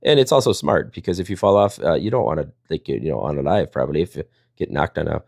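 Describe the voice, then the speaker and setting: A man's voice: very deep voice, lively intonation, fast, creaky, a little mellow, no echo, studio quality. A man, chatting and telling stories in a rambling, jokey way. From Wisconsin, United States. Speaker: storyteller and live panel host. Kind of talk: casual chat and storytelling.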